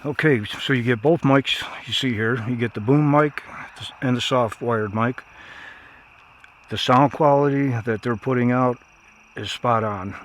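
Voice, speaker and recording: A man's voice: mid-range voice, measured pace, a little hiss or hum. A man giving a casual, unscripted product review in a plainspoken, folksy way.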